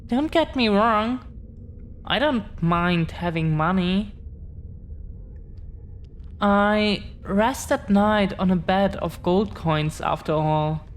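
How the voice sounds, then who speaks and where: A woman playing a tender, devoted young dragon-descended girlfriend in intimate roleplay audio, speaking haltingly and earnestly, repeating phrases. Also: medium pitch, a little hiss or hum, close to an everyday voice. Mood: solemn, confiding.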